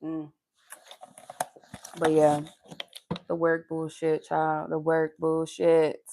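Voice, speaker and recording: A woman's voice: sits low, monotone, takes it slowly, vocal fry, dark tone, slight background noise. Candid, profane woman chatting live. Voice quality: soothing, pleasant voice. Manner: laughs while talking. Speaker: live tarot reader. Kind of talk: casual live-stream chat.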